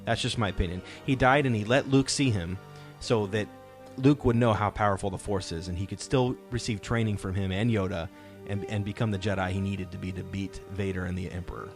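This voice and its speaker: Low-pitched man hosting, music behind. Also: fairly even intonation, a little fast. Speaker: podcast host. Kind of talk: casual fan discussion.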